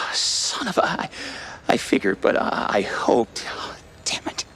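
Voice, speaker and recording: An actor playing a shifty, rueful old war buddy who dabbles in the occult, in a theatrical, halting noir cartoon style. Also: male voice, a bit bright. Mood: worried, resigned. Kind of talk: noir cartoon game acting.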